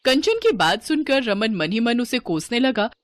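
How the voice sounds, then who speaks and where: A woman's voice: a little high, big pitch swings, normal speed. A woman narrator delivering a scripted moral story as storytelling narration.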